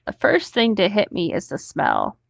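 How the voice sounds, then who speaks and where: A woman's voice: medium pitch, huge pitch swings, normal speed, creaky, dry recording. A woman host reading an online story aloud, breaking off now and then into casual asides.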